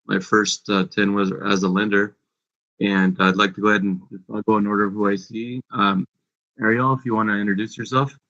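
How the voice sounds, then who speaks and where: A man's voice: fairly low pitch, little pitch movement, a little fast, bright, forceful tone. A man in his forties moderating a real estate panel, introducing speakers with frequent "uh" fillers. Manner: hesitant, many "uh" fillers. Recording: dull lo-fi sound.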